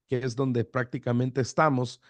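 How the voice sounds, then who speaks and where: A middle-aged pastor from El Salvador, with an accent mixing Salvadoran and Guatemalan Spanish, speaking in a relaxed radio interview full of fillers and restarts. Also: male voice, medium pitch, moderate pace, no echo. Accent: Salvadoran-Guatemalan border Spanish. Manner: relaxed, hesitant with fillers and repeated words. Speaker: pastor, guest.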